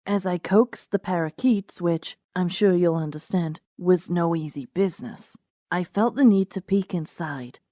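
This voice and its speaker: A woman reads a first-person story as its narrator. Her voice sits fairly low for a woman, and the recording is close and dry, with no room sound. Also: unhurried, mellow, dry recording. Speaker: narrator and host of a fan fiction reading series.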